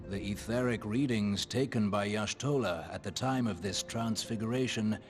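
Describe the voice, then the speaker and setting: A man's voice: on the low side, normal speed, close to an everyday voice. An actor playing a solemn male scholar in a fantasy game, with grave, formal, archaic diction. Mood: grave, measured. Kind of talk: theatrical fantasy game dialogue. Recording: loud music under the voice.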